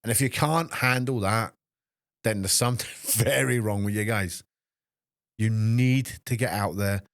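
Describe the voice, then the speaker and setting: A Scottish man in his fifties, a fitness trainer hosting a solo podcast, speaking in an emotional, halting way with many restarts. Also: fairly low pitch, no echo, clean studio sound. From Scotland. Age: 50s. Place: small podcast studio.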